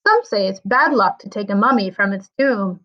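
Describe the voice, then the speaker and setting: A woman voicing a pleasant, gossipy old gentleman with a genteel, conversational way of talking in a storybook reading. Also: medium pitch, expressive, moderate pace, muffled recording, lightly acted.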